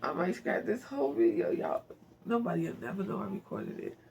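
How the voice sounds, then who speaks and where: Male amateur reader, high and creaky, soft and emotional storytelling with casual asides. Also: big pitch swings, brisk, slight background noise. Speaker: amateur book reader and channel host. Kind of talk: reading a novel aloud, with informal asides.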